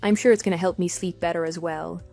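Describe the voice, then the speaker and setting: A woman's voice: lowish voice, fairly even intonation, quick pace, gravelly, darkish tone, faint music behind. A woman playing an affectionate, chatty working wife in an audio drama. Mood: fond, playful. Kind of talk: scripted texting-story radio drama.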